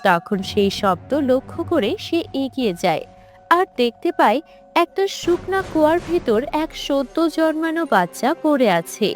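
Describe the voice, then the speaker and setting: A woman with a fairly high voice narrates a scripted folk tale. Music plays underneath her storytelling. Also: lively intonation, normal speed.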